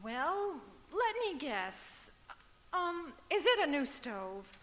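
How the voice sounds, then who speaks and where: A woman playing a wry, sharp-tongued wife in an old-time radio sitcom. Her voice is bright and highish, with lively, emphatic swings, ringing through a big auditorium. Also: slow, a little hiss or hum, heavily acted. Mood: hesitant. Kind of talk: old-time radio comedy acting on stage. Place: stage of a performing arts auditorium. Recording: echoey auditorium with long reverb.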